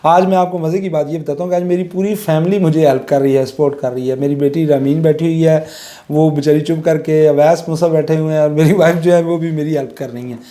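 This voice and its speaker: A man's voice: a little high, fairly even intonation, fast, dark tone. A middle-aged man with a Pakistani accent gives an informal, conversational lecture, explaining with everyday examples and mixing in English legal terms. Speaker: law lecturer.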